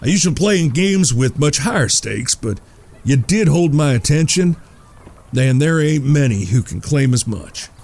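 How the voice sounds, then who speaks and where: An actor playing a shrewd outlaw gambler in a western audio drama, polite, folksy and coolly confident. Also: male voice, fairly high pitch, animated, moderate pace, darkish tone. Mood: courteous. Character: shrewd, courteous outlaw gambler, leader of his brothers.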